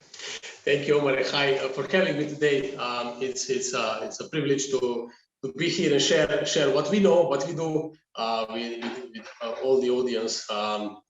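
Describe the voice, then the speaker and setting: A middle-aged man with a Slovenian accent, answering casually as a podcast guest, often halting and repeating words. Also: medium pitch, fairly even intonation, dull audio. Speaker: podcast guest, agency founder. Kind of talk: casual conversational interview answer. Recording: echoey room, distant from mic.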